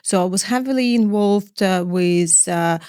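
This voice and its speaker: A middle-aged woman from Ukraine, a finance executive answering interview questions in a measured way, often pausing to find words and listing points one by one. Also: mid-range voice, darkish tone, smooth, no echo, studio quality.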